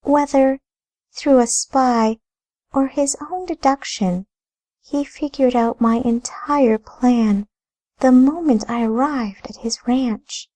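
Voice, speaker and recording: A woman's voice: high voice, takes it slowly, mellow, voice pushed up. A woman voicing a poised, scheming wife who speaks in a lilting, formal and cool manner. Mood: grave. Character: poised scheming wife and sister, grave and composed.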